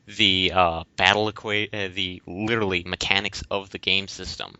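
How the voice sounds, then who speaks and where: A man in a casual discussion about games, explaining things in a halting, rambling way as a podcast host. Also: low-pitched, little pitch movement, pushed and bright. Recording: dull lo-fi recording.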